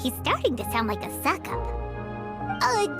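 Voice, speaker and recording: Squeaky, very high fairy sidekick, blunt and cheeky, game music loud behind. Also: female voice, big pitch swings, moderate pace. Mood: snarky. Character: tiny floating female fairy companion, blunt and cheeky. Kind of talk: game cutscene voice acting.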